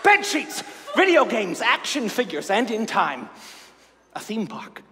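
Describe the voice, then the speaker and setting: A greedy, theatrical conman wizard villain in a stage comedy. The voice sits high and leaps around with gleeful boastfulness and a sharp, forceful edge. It rings in a hall. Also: sing-song, unhurried. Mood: manic glee. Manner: theatrical, boastful, swinging into shouts. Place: live staged reading on a stage. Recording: reverberant hall, faint noise.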